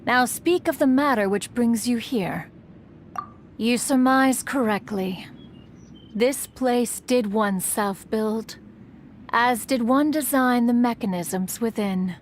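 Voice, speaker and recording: A haughty immortal recluse from a fantasy game. She speaks slowly in a fairly high voice, with stiff archaic formality and a commanding, aloof manner, over faint background ambience. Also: slight background noise. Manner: imperious, formal archaic diction, refers to self as one. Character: proud, aloof, ancient female adeptus spirit, haughty recluse. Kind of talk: scripted fantasy video game acting.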